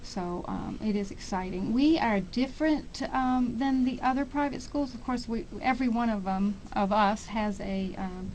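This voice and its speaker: A middle-aged woman speaking as an interview guest on radio, explaining things conversationally and a little haltingly. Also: a little high, darkish tone, a little hiss or hum, rough recording. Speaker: school representative, interview guest.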